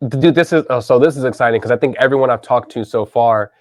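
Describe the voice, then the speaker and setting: A man's voice: mid-range voice, fast, no room sound, clean studio sound. Upbeat man in his thirties hosting. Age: 30s. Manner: enthusiastic, says dude and man a lot. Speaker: podcast host. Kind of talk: casual interview chat.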